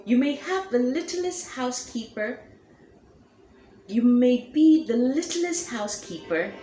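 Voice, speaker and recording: A woman voicing a kindly old male lighthouse keeper in a lowered, warm voice. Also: lively intonation, unhurried, echoey room, soft background music.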